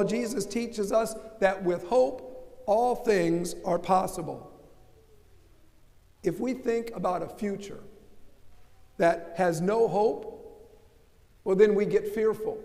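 A man's voice: sits high, slow pace, long pauses, a little mellow. An older American man preaching a sermon from the pulpit, emphatic and asking rhetorical questions. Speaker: pastor. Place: large church sanctuary. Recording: long church reverb.

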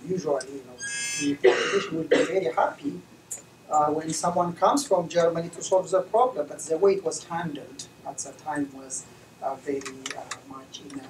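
A middle-aged man from Ethiopia, a veterinarian, giving measured, hesitant comments as a discussant at an academic conference. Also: fairly high pitch, distant mic. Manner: measured, hesitant, many fillers. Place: lecture room. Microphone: distant camera mic. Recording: echoey room recorded from afar, noisy.

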